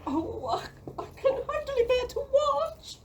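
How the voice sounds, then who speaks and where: An adoring, excitable young villager voiced by a woman in a community audio play. The voice is extremely high and dramatic, coming from an echoey room a little off the mic. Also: takes it slowly, recorded from a distance, slight background noise, heavily acted. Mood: insistent.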